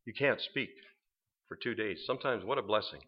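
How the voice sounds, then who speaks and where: An earnest, plain-spoken man addresses a church gathering, dropping in the occasional dry joke. His voice sits a little low. The recording sounds slightly dull. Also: fairly quick, very noisy. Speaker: ministry program staff, discipline head. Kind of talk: church presentation talk.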